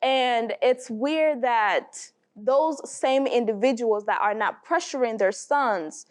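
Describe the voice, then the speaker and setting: A woman in her twenties from Tanzania, a talk show guest who talks in an animated way and searches for her words. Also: fairly high pitch, takes it slowly. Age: 20s. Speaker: panel guest. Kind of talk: casual discussion.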